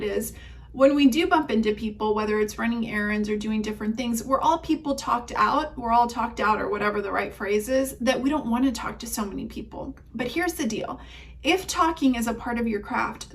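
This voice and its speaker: A cheerful, chatty woman gives coaching tips in a fairly high voice, laughing easily between points. Spanish and German were her first languages. She sounds a little distant from the microphone. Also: slight background noise. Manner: upbeat, chatty, laughs often. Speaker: leasing trainer.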